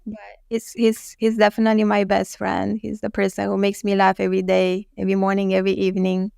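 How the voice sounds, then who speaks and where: A woman from Romania, a guest in a casual interview, speaking in a calm, reflective and measured way. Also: medium pitch, gravelly, smooth, no echo, studio quality.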